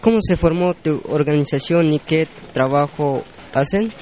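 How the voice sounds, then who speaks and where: A man's voice: a little high, moderate pace, darkish tone, slight background noise. A man working as a radio interviewer, asking brief, direct questions.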